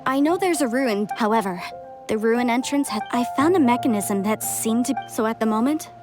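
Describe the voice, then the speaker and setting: A woman's voice: fairly high pitch, expressive, moderate pace, music in the background, natural voice. A woman voicing an earnest young female researcher in a video game, explanatory and easily exasperated. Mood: earnest, explaining.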